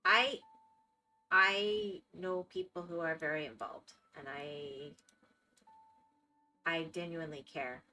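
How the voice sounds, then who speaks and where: Female streamer with a Florida accent, talkative, bright voice. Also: sits low, very animated, slow, creaky, long pauses, faint music behind, rough recording. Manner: chatty, reacting to viewer chat. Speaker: live streamer. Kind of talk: casual chat while gaming.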